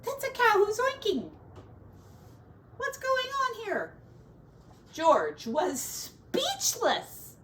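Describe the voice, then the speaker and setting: A woman's voice: very high voice, wildly swooping pitch, very slow, pauses often, a bit bright, recorded from a distance, a little hiss or hum. A woman teacher reading a storybook to children expressively, adding playful asides and drawing out the descriptive words for drama.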